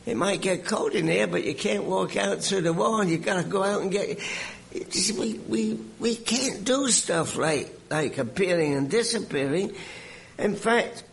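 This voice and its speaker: An older man preaching a sermon in an English accent mixed with a Canadian one, telling stories with animated exclamations and repeated words. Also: high-pitched, unhurried, creaky, a little hiss or hum, low-quality recording.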